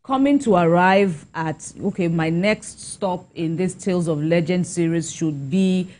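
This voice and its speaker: A woman's voice: on the low side, a little slow, darkish tone, a little hiss or hum. A woman with a Nigerian accent interviewing on television in a conversational way, circling wordily into her questions.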